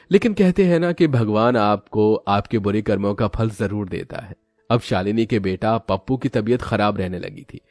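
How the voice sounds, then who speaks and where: An animated male storyteller narrates a children's moral tale with big swings in pitch. The recording is close and dry, with music playing behind him. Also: dry recording.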